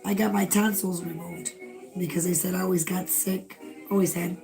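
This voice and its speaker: A woman's voice: lowish voice, flat delivery, moderate pace, dark tone, soft background music. A woman losing her voice, chatting casually as co-host of a live stream, laughing often. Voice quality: hoarse, gravelly. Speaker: co-host of a retro livestream.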